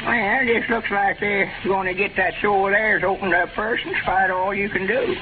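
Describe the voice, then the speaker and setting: Tinny 30s radio show, man doing old southern grandpa, real high voice, gossipy n folksy. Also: little pitch movement, moderate pace, lightly acted. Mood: folksy, needling. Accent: rural Southern American. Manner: folksy, plain-spoken, meddling. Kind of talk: 1930s radio comedy acting. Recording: thin crackly 1930s radio broadcast, heavy noise.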